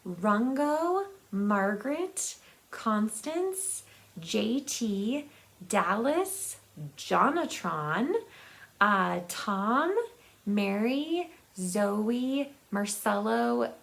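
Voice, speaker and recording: A woman hosting a book vlog, chatting casually and personally to viewers, often halting and repeating words as she searches for them. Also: fairly high pitch. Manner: informal, personal address to viewers. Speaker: host of a book video channel. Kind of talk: casual chat to camera.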